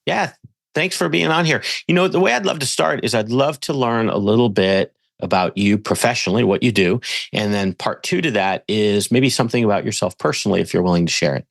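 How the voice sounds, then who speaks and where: A man's voice: fairly low pitch, a little fast, creaky, no room sound, studio quality. A man hosting a podcast, introducing and interviewing in a conversational presenter style. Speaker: podcast host.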